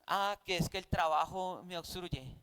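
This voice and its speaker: A man's voice: high voice, unhurried, fairly bright tone, smooth. A man with a Colombian accent teaching a Bible class in a didactic, conversational way, often posing rhetorical questions.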